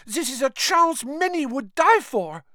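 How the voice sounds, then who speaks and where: Man voicing an indignant, high-pitched young woman. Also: sing-song, unhurried, bright, forceful tone, no echo, studio quality, full character voice.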